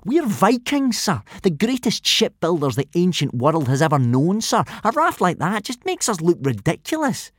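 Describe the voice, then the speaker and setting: A man's voice: sits high, wildly swooping pitch, normal speed, few pauses, dry recording, voice pushed up, heavily acted. A man voicing a sneering, scornful bully of a Viking boy.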